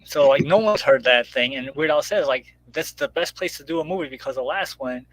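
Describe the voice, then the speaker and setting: A man's voice: on the high side, fairly quick, low-quality recording. A man chatting casually as a guest about his own creative project, rambling informally and acting out little quotes as he goes. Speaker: guest, comic book creator promoting crowdfunding. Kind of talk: casual chat.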